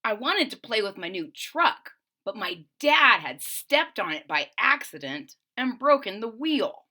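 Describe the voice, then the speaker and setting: Bright, animated woman reading aloud. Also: fairly high pitch, a little slow. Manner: expressive, chatty asides between pages. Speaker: storybook reader. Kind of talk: reading a picture book aloud to children.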